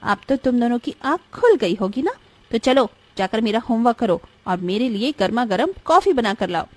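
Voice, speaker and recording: A woman's voice: fairly high pitch, lively intonation, normal speed. A woman voicing a jealous, bossy young elder stepsister in a cartoon story, sneering and scolding as she speaks. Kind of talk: cartoon bedtime story voice acting.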